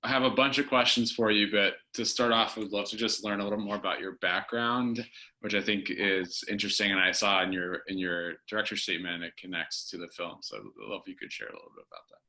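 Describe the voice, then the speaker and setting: Very deep, bright-voiced male interviewer. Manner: friendly, halting, with ums and restarts. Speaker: interviewer and festival host. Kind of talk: casual interview.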